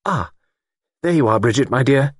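A man's voice: mid-range voice, expressive, moderate pace, husky, no echo, studio quality, voice pushed up. A man voicing an anxious, genteel spinster lady in an audiobook, fretful, fluttery and politely reproachful. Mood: sharp, nervous. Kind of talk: audiobook character acting.

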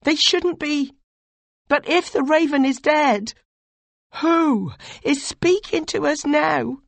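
A woman's voice: sits high, slow, creaky, no room sound, voice pushed up, exaggerated voice. A woman voicing an anxious young aide who urgently begs his lord. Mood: pleading. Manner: urgent, pleading.

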